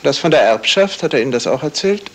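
An actor playing a composed, probing male detective in a 1970s radio crime play, asking formal, measured, pointed questions in a gravelly voice. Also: on the high side, animated, slight background noise. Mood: matter-of-fact, pointed. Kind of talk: 1970s German radio crime drama acting.

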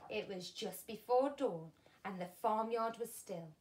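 Woman in her 30s reading a kids book at home, slow n careful, acts it up with big pauses. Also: medium pitch. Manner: animated, deliberate, dramatic pauses. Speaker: primary school teacher reading a story. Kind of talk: expressive children's storytelling. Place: small furnished room at home, indoors.

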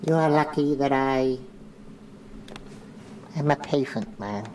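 Guy doing a smug little mastermind uncle, slow and grandiose, faint noise behind. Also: mid-range voice, a little mellow, lightly acted. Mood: condescending. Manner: grandiose, condescending, slow and deliberate. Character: small pompous scheming old uncle, self-styled mastermind.

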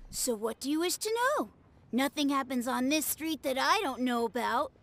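A woman voicing an eager, easily flattered young boy in a video game who dreams of sword-fighting heroes. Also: high-pitched, moderate pace, no echo. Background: game music.

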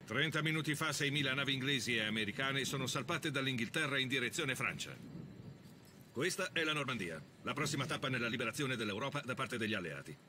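A man playing a stern American army officer giving a firm, measured briefing in a game dub. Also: medium pitch, bright, forceful tone, slight background noise.

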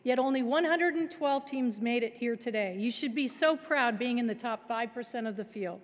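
A woman's voice: fairly high pitch, moderate pace. A middle-aged woman giving a formal keynote address at a lectern, congratulating students with emphatic delivery. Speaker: keynote speaker. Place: large auditorium stage at a lectern. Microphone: lectern microphone. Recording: long auditorium reverb.

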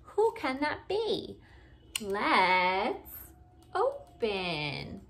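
A woman reads a picture book to young children in a high, very animated voice. She takes it extremely slowly, using simple, exaggerated phrases. She keeps asking questions as a teacher would, inviting the listener to join in. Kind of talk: interactive read-aloud for young children. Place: living room at home, indoors.

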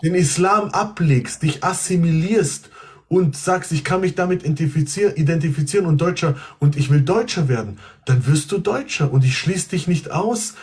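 Stream host, middle-aged man, husky, blunt, cutting, phone mic at arm's length. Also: on the high side, animated, normal speed, darkish tone. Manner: blunt, cutting, swears at opponents. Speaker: livestream host debating callers. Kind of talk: heated livestream debate. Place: indoor room at home. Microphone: phone front camera at arm's length.

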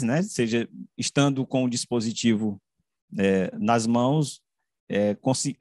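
A middle-aged man giving an academic online presentation, speaking haltingly with frequent self-corrections and repeated words. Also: medium pitch, darkish tone, no room sound, studio quality. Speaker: researcher presenting his study. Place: indoors, room with bookshelves, video call. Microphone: desk microphone close to the mouth.

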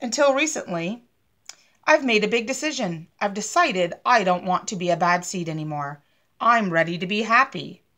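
A woman's voice: mid-range voice, lively intonation, normal speed, sharp tone. A woman voicing a gloomy, reforming bad seed from a storybook, telling his story dramatically for children. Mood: earnest, hopeful. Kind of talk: children's picture book read-aloud.

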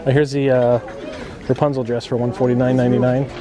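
A man filming a casual shopping vlog on a handheld camera, chatting along and reading out prices. His voice sits at an ordinary pitch. Store shoppers murmur clearly in the background. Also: flat delivery, fast, crowd noise. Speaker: vlogger filming a shopping trip. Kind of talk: casual chat. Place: inside a quiet clothing store.

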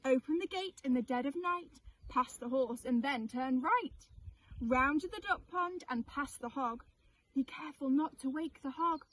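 A woman's voice: high voice, unhurried, poor audio. A woman voicing scheming male cattle thieves in a storybook, giving conspiratorial instructions.

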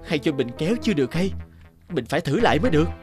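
A man's voice: a little high, expressive, very fast, music in the background, close to an everyday voice. An actor playing a devoted, polite young male violinist in a cartoon. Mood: earnest. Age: young adult. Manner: earnest, polite.

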